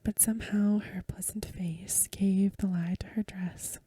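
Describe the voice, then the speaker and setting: A woman softly whispering a story for ASMR in a breathy voice, with gentle, careful phrasing, close to the microphone. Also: mid-range voice, steady tone, measured pace, no room sound. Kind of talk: soft-spoken ASMR story reading.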